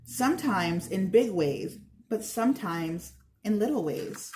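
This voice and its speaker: A woman's voice: mid-range voice, expressive, unhurried, darkish tone, slight background noise, natural voice. A kindly, wise handyman from a storybook, read by a woman, passes on history. He explains patiently and earnestly, encouraging the listener to look closer.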